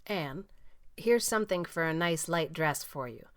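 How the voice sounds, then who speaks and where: A woman's voice: low voice, expressive, unhurried, a bit bright, dry recording, clean studio sound. A woman playing a practical, reserved older farm woman who speaks plainly in an audiobook drama. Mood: brisk, practical.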